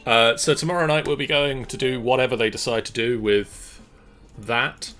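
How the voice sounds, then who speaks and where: A middle-aged man hosting a livestream, giving casual announcements with halting pauses. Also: mid-range voice, steady tone, fairly bright tone. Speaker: streamer and host. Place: small room at home. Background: faint music.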